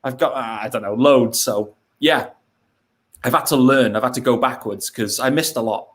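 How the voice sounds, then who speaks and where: A man from Wales, a podcast guest in cheerful, chatty conversation with quick asides. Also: mid-range voice. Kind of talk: casual chat.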